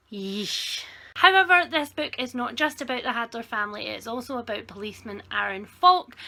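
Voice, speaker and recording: A young woman with a congested, croaky voice from sinus trouble, chatting enthusiastically through a book haul video. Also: medium pitch, pushed and bright. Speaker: book vlogger.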